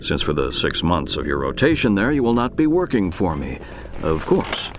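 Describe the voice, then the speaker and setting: An actor playing a shrewd, sardonic older military intelligence officer in a radio drama, measured and professorial. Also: male voice, low voice, very animated, background noise. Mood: insinuating.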